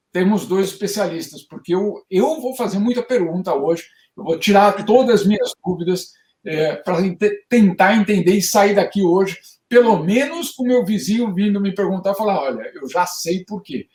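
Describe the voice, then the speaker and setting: A man co-hosts over a video call, with a high voice for a man and a hollow, echoey room around him. He speaks haltingly, filling his gaps with drawn-out sounds while he lines up his questions. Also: animated, a little mellow. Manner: hesitant, full of filler sounds, curious questioner. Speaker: co-host columnist. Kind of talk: casual panel discussion.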